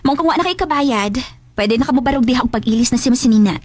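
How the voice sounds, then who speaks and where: An actress playing a bold, playful young bar escort with a Filipino Cebuano accent, frank and teasing, in a radio drama. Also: female voice, on the high side, lively intonation, quick pace, no room sound. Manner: teasing, giggly, matter-of-fact. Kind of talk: Bisaya radio drama acting. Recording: radio drama over prominent music. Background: prominent background music.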